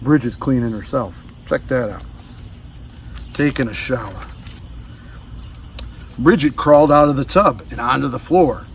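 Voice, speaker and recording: A man's voice: mid-range voice, measured pace, pauses often, distant mic, a little hiss or hum. A man reading a children's storybook aloud, adding casual asides to young listeners. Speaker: storybook reader. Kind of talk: children's read-aloud narration.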